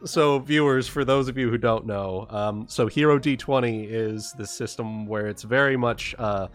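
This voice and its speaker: A man chatting casually as a livestream host, explaining things in a halting, stop-and-start way. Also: medium pitch, soft background music. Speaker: livestream host and game master.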